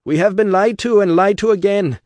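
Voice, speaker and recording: A man's voice: high voice, brisk, a little mellow, dry recording, studio quality, voice pushed up, lightly acted. A man reading an audiobook voices a fiery male agitator with an Irish brogue, bellowing to a crowd.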